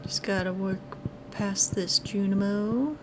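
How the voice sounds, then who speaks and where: A woman's voice: mid-range voice, steady tone, slow, background noise. A woman giving casual let's play commentary as the host of a gaming video. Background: music.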